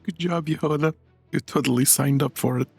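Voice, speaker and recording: A man's voice: fairly high pitch, fairly even intonation, fairly quick, darkish tone, slightly rough, soft background music. A man giving casual playthrough commentary as a gaming streamer.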